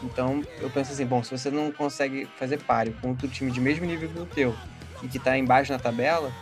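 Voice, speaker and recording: A man's voice: mid-range voice, steady tone, quick pace, music in the background. A man from Rio de Janeiro with a Carioca accent, a guest on a podcast chatting casually and enthusiastically about football. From Rio de Janeiro, Brazil.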